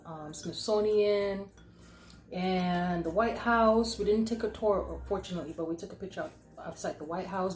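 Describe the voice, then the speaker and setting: A woman's voice: fairly low pitch, darkish tone. A young woman telling a casual, easygoing story as a vlog host while eating. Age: young adult. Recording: roomy echo, some background noise.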